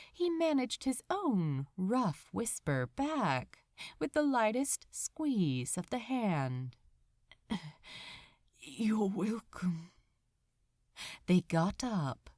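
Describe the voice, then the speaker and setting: A woman reading a story aloud as narrator, with deliberate, dramatic phrasing. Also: a little high, a little mellow, dry recording, clean studio sound. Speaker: audiobook-style fan fiction narrator. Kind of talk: expressive scripted story narration.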